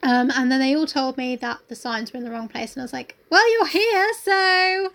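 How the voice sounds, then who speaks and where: A woman's voice: high voice, normal speed, fairly bright tone. A woman co-hosting a podcast, chatting casually with dry teasing humour. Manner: chatty, dry teasing, acts out quoted people. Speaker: podcast co-host. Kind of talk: casual chat.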